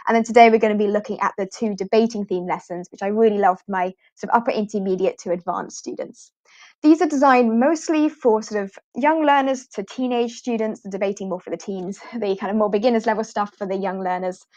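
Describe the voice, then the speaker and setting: A British woman hosts an informal livestream for fellow English teachers, chatty and rambling, with fillers and little laughs. Her voice sits at a middle pitch. The sound is close, dry and a little dull, like home streaming audio. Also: brisk, darkish tone, no echo. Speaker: online ESL teacher hosting a livestream. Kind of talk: informal live walkthrough.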